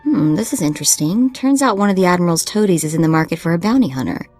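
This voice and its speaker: A woman's voice: on the low side, animated, fast, darkish tone, soft background music. A woman playing a keen young hacker sidekick in a video game, talking in a breezy, informal way. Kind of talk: video game cutscene acting.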